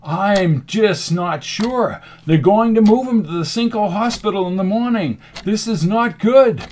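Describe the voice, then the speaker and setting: A man's voice: sits high, expressive, a little slow, darkish tone, a little hiss or hum, pitched above the performer's own voice. A man voicing an urgent, hot-tempered male medic in an audiobook, emphatic and exclaiming. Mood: grave.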